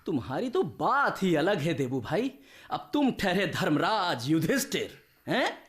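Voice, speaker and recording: Radio play: scornful, mischievous country doctor, slow and husky, sardonic edge. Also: male voice, high-pitched, sing-song, fairly bright tone, heavily acted. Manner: teasing, sardonic. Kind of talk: radio drama acting.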